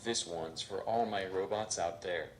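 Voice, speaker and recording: A man voicing a robot stand-up comedian who delivers deadpan jokes on stage. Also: deep voice, unhurried, background noise. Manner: deadpan, flat punchlines. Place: stage before a live audience.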